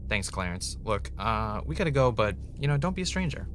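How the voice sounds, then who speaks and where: Bright-voiced, low-pitched wry male podcaster. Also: big pitch swings, brisk. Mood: curious, casual. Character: male ex reality-show contestant turned investigative podcaster, wry, dramatic. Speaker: podcast host. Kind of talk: naturalistic audio drama acting, conversational narration.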